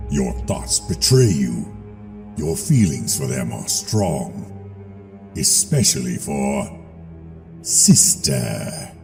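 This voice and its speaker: A man voicing a menacing, commanding dark lord who taunts in a slow, measured, ominous way. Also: a bass voice, creaky, pitched below the performer's own voice, exaggerated voice. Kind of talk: film villain acting for a voice reel. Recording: long reverb with music behind.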